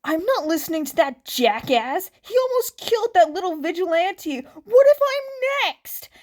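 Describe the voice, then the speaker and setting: A woman voicing frightened, panicking stadium spectators, shouting shrilly and frantically. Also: extremely high pitch, sing-song, unhurried, voice pushed up, exaggerated voice. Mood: panicked.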